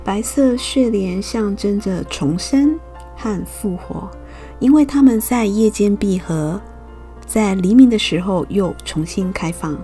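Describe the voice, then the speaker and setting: A young woman from Taiwan, gently narrating a calm voice-over for her watercolor painting tutorial. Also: medium pitch, soft background music. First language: Mandarin. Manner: gentle, unhurried explaining. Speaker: watercolor art channel host.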